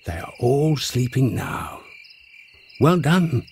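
A man narrating a bedtime story in a soft, soothing way, speaking directly to a child listener. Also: medium pitch, big pitch swings, slow pace, gravelly, slight background noise.

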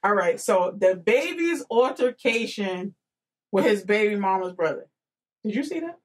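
A young woman hosts a podcast chat. Her voice sits at a middle pitch and her talk is informal and full of slang. She speaks into a close studio microphone in a small room. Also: animated, measured pace. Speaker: podcast host. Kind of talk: casual podcast interview chat. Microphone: boom-arm studio microphone with headset.

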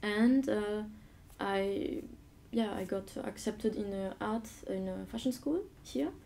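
A woman's voice: medium pitch, takes it slowly, creaky, warm tone, slight background noise. A young woman from Mauritius with a French accent, talking hesitantly in a casual interview in a living room. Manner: hesitant, searching for words, laughs often. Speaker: artist guest. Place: living room studio with armchairs.

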